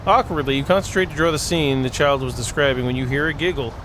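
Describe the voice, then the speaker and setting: A man reading a visual novel aloud as a gameplay host, playful and expressive in his reactions. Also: a little high, quick pace, noisy background. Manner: expressive, playful, exclaims and laughs.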